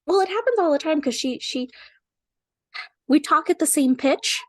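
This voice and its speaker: High-voiced teasing young woman. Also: animated, normal speed, no echo, clean studio sound. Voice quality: high-pitched, cartoonish voice. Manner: playful, teasing, swears freely. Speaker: podcast co-host. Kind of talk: casual chat. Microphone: remote call microphone.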